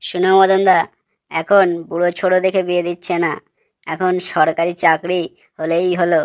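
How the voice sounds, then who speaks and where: Cartoon male sidekick, voiced by a woman: blunt, persuasive, coarse, on the low side, dry recording. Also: level tone, normal speed, dry recording, lightly acted. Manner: coarse, persuasive, matter-of-fact. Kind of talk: comic cartoon dialogue.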